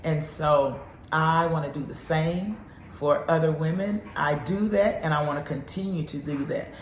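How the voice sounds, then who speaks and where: A woman's voice: deep voice, moderate pace, reverberant, slight background noise. A woman in her fifties, a counselor, giving an earnest, encouraging faith talk. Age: 50s.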